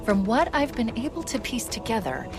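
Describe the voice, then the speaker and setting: Sharp-tongued, high-voiced AI companion. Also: female voice, big pitch swings, normal speed, music in the background. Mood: brisk, explanatory. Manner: sarcastic, biting, articulate. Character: sharp-tongued, protective female AI companion to a soldier. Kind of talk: cinematic video game acting.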